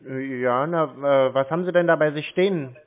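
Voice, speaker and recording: Hesitant man on a tinny phone line. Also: fairly high pitch. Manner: hesitant, slow-witted, rambling explanations. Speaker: caller posing as a confused customer. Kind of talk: casual phone conversation.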